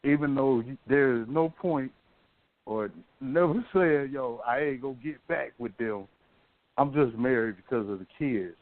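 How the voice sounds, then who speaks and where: An American man co-hosting a radio talk show, speaking casually and candidly in colloquial, halting phrases. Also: mid-range voice. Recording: narrow phone-like line, dry.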